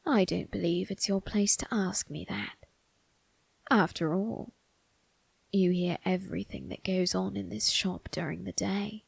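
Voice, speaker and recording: A woman voicing an ancient male undertaker in an ASMR roleplay, sly and teasing, with a breathy, husky, creaking voice and formal phrasing. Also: medium pitch, steady tone, no echo, exaggerated voice. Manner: slow, formal, teasing delivery with drawn-out tag questions.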